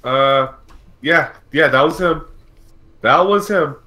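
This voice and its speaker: A man's voice: on the high side, slow, bright, forceful tone. A man co-hosting a casual live stream podcast, speaking a little hesitantly and often repeating himself. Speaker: podcast co-host.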